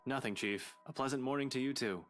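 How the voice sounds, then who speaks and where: A man playing a weary, sardonic young police detective in a visual novel game, dry and expressive. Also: mid-range voice, quick pace, soft background music, lightly acted. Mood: groggy, sarcastic.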